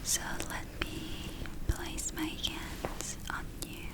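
A woman whispering in a breathy, gentle, unhurried way, performing an ASMR roleplay as a soothing witch healer. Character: soothing witch healer welcoming a spa client.

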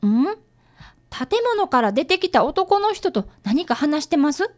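Polite little boy detective, high and really lively, telling the case in a radio drama. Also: measured pace. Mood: earnest, puzzled. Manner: polite, formal narration and dialogue. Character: earnest, polite young boy apprentice detective. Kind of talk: radio drama acting with narration.

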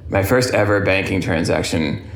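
A man from Europe with a fairly low voice tells a childhood story in relaxed conversation. His voice sounds roomy, with clear echo around it. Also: moderate pace. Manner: relaxed storytelling, laughs easily. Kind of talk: casual conversation, anecdote.

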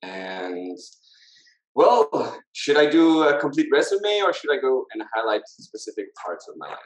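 A man's voice: mid-range voice, big pitch swings, a little slow. A man in his thirties with a Portuguese accent, talking reflectively as a podcast guest and searching for words. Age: 30s. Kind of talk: reflective interview talk. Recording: roomy, echoing sound.

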